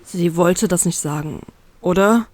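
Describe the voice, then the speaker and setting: A woman's voice: on the low side, unhurried, dry recording, close to an everyday voice. A woman reader voicing a young male pirate crewmate who speaks in an upset, rising way. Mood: unsure. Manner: upset, getting louder.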